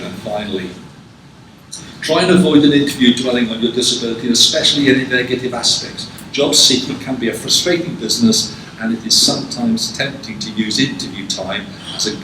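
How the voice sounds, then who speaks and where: A man giving a conference presentation and taking questions, measured and prone to restarts, recorded from a distance in a conference hall. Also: medium pitch, noisy background. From England. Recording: echoey hall, distant mic.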